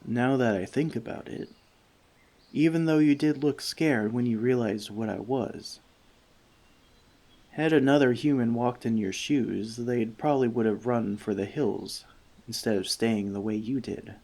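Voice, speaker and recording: A gentle, long-lived forest elf, played by a man in an ASMR fantasy roleplay. He speaks softly and slowly, with a creaky edge to his voice. His wording is measured, slightly formal and thoughtful. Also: medium pitch, lightly acted. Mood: surprised, reflective. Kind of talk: soft-spoken ASMR roleplay addressed to the listener.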